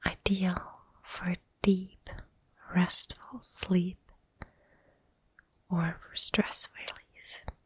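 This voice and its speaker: A woman softly whispering a guided ASMR visualization for relaxation and sleep, soothing and unhurried, with long pauses. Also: lowish voice, level tone, breathy edge, no echo.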